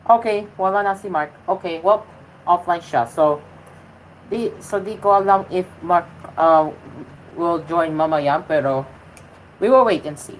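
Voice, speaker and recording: A woman's voice: fairly low pitch, a little slow, slight background noise, poor audio. A woman hosting a casual livestream, speaking hesitantly with many fillers and restarts and switching between Tagalog and English, which is her second language after English. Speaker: host of a livestreamed show.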